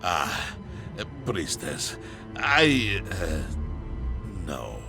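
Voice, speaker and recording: An actor playing a kindly, bashful big warrior in a video game, hesitant and gentle. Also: male voice, low-pitched, very animated, extremely slow, creaky, over music, heavily acted. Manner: hesitant, speaks of himself by name. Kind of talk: dramatic video game cutscene acting.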